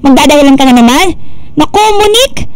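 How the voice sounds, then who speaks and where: A woman's voice: sits high, animated, fast talker. An actress playing a domineering, hot-tempered female office boss who scolds sharply in mixed Tagalog and English in a radio drama. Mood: angry, impatient.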